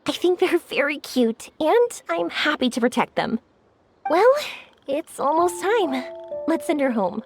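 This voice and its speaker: A woman playing a cheerful, sincere young woman in an anime video game, expressive and bubbly. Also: sits high, a little slow. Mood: bright, excited. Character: cheerful, warm-hearted young woman fireworks maker. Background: music.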